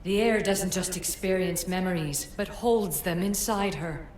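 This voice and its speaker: Game casting: ancient oracle voiced by an actress, solemn and commanding, lowish female voice, echoing. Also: a little slow, darkish tone, close to an everyday voice. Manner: formal, commanding, cryptic. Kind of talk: video game voice acting. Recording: echoing voice, faint background noise.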